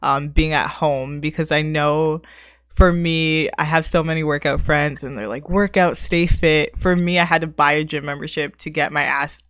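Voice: mid-range voice, fairly even intonation, normal speed, fairly bright tone, clear, resonant tone, no echo. An informal podcast host with a mid-range voice chats with guests in a casual, slangy style. The sound is close and dry, with very little room around it. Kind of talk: casual interview.

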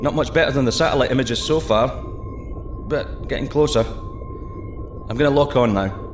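An actor playing a plucky, excitable male astronaut in a sci-fi audio drama, breezy and cocky between clipped technical reports. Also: medium pitch, normal speed, echoey room, slight background noise. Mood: breezy, reassuring. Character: plucky, excitable male astronaut piloting a deep-sea microsub.

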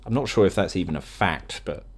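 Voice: low voice, lively intonation, a little fast. A podcast host chatting casually in a rambling, giggly, self-mocking way. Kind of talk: casual, improvised podcast chat.

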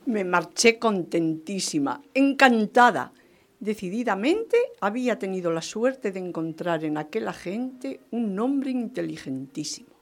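A woman's voice: medium pitch, animated, a little slow. An actress playing a genteel, scheming wife in radio theatre, theatrical and emphatic in telling her tale. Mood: confiding narration. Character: indignant, genteel married lady scheming for divorce. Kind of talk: radio theatre storytelling and dialogue.

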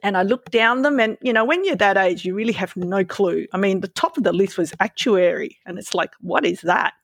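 A woman's voice: medium pitch, brisk, dry recording, clean studio sound. A woman in her fifties with an Australian accent, born in Malaysia, telling her story casually and candidly as an interview guest, with some self-deprecation. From born in Malaysia, moved to Australia around twelve.

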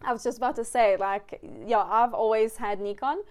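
A woman's voice: a little high, steady tone, moderate pace. A woman from Sweden, a Swedish native speaker, hosting a casual podcast chat, talking loosely with lots of fillers and restarts. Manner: chatty, many fillers and restarts. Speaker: podcast host.

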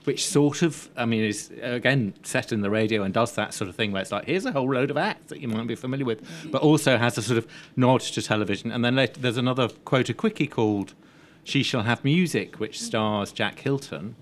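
A man's voice: medium pitch, brisk. A man talking informally on a film panel in a discursive way, often saying "like" and "sort of", recorded live at an event. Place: live post-screening event before an audience. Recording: clearly noisy live room recording.

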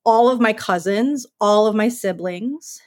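A woman's voice: mid-range voice, a little slow, a little mellow, no room sound, studio quality. A woman in her thirties, a podcast guest, speaking casually and thoughtfully with frequent "like" and "right?" fillers. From United States.

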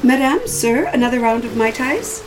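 A woman's voice: on the high side, very animated, normal speed. An actress playing a courteous, eager-to-please resort drinks server in an audio drama, deferential to her guests. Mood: polite, obliging. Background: prominent music.

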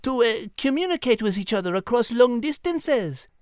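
High-voiced, prim French lady cat played by a woman. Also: lively intonation, normal speed, darkish tone, clean tone, no room sound, studio quality, lightly acted. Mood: prim, patient. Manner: prim, precise, patient, schoolteacherly. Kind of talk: animated children's audio drama.

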